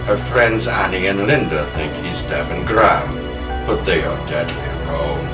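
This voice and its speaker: A man's voice: low-pitched, unhurried. A man hosting a horror podcast, moving between talk and dramatic storytelling. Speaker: podcast host. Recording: roomy, music behind.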